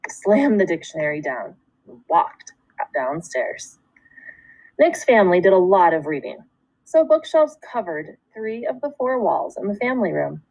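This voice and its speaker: Female schoolteacher for a children's read-aloud: animated intonation, deliberate storytelling phrasing, dry room sound. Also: fairly low pitch, dry recording, low-quality recording. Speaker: second grade teacher.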